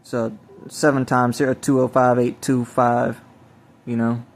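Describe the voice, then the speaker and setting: A man talks in a rambling, personal way, like someone recording his own testimony. His pitch sits in the middle and barely moves. He is halting, often repeating and restarting his phrases. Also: level tone. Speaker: self-described evangelist recording a personal video. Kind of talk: rambling spoken testimony to camera or phone.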